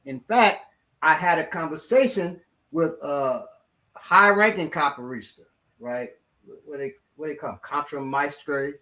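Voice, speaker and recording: Animated male martial arts instructor. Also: fairly high pitch, measured pace. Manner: animated, acts out quotes of others. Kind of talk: casual storytelling.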